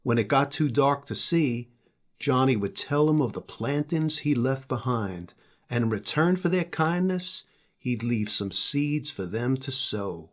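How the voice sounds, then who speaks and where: A man's voice: medium pitch, expressive, measured pace. An older man, a grandfather, narrating a children's story.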